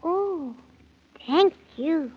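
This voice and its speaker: High-voiced, timid little boy. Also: expressive, very slow, exaggerated voice. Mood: earnest. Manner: timid, polite, breathy exclamations. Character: frightened, polite six-year-old boy hero. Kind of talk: 1930s children's radio drama acting. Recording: dull 1930s radio recording, music behind.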